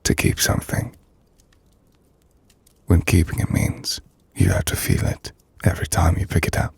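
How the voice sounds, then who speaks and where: A man playing a melancholy, gentle half-vampire nobleman in an intimate ASMR roleplay, speaking in a hushed, breathy, formal and reflective manner. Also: huge pitch swings, dry recording, full character voice. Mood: wistful, reflective. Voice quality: low, gravelly, breathy. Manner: hushed, breathy, slow reflective delivery with formal, measured phrasing. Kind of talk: ASMR audio roleplay, intimate dramatic monologue.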